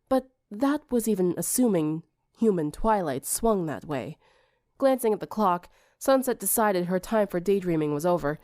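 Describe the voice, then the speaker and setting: A woman reading a story aloud as an audiobook narrator, in an expressive scripted style. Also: on the low side, dry recording, clean studio sound.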